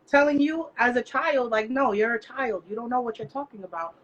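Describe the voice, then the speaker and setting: A woman's voice: a little high, fairly quick, muffled recording. Dominican woman author, passionate, blunt, faint background noise. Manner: passionate, emphatic, blunt, mixes in Spanish. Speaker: author guest. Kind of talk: candid interview talk.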